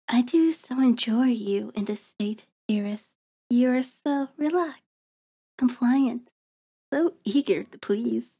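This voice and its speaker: A woman playing a seductive, predatory vampire in an ASMR roleplay, soft and breathy with a coaxing, commanding manner, often slipping into a whisper. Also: a little high. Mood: satisfied, possessive. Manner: slow, deliberate, coaxing, controlling.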